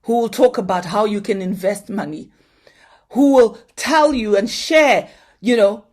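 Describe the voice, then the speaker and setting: A woman's voice: mid-range voice, unhurried, darkish tone. A woman giving a motivational conference address, earnestly urging the audience to act and posing direct questions to them. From Zimbabwe. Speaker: conference opening speaker.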